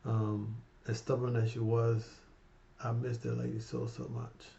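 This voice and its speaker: A man's voice: mid-range voice, completely flat delivery, measured pace, gravelly, muffled recording, a little hiss or hum. A man in his fifties talking casually to his viewers in a rambling, heartfelt way, often tagging his sentences with "right?". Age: 50s. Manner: rambling, repeats "right?" and "you guys"; warm and heartfelt, emotional about his mother. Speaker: finance vlogger. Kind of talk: casual motivational talk to camera.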